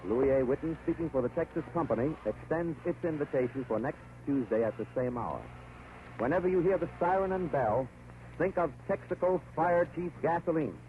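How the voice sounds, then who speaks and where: A man's voice: fairly high pitch, lively intonation, a little fast, long pauses. An American man speaking for a sponsor in a formal 1930s radio announcing style. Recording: scratchy, tinny 1930s radio broadcast.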